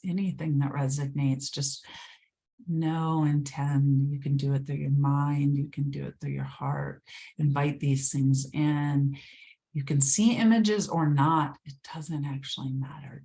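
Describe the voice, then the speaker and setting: A woman leading a guided meditation, speaking slowly and soothingly, sometimes dropping to a whisper. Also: low voice, vocal fry, a little mellow. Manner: slow, soothing, drifts into whispers.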